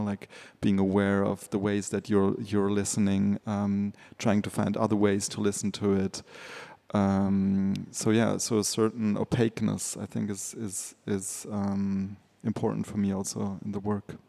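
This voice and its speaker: A man's voice: fairly low pitch, monotone, a little slow, vocal fry, warm tone. A man, an artist, talking reflectively on a panel, hesitant and full of fillers. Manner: thoughtful, hesitant, many fillers.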